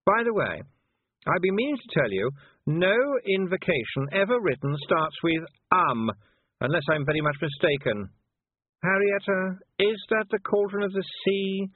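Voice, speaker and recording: A man's voice: high-pitched, expressive, moderate pace, breathy edge, no room sound, pitched above the performer's own voice. A man voicing a bossy, haughty, impatient teenage girl witch in a storybook reading, scolding and condescending. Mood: exasperated scolding. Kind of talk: audiobook character voice. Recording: muffled narrow-band phone-like sound.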